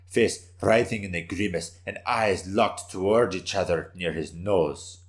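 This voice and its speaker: A man giving an intense, theatrical reading of a scripted story as its narrator. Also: deep voice.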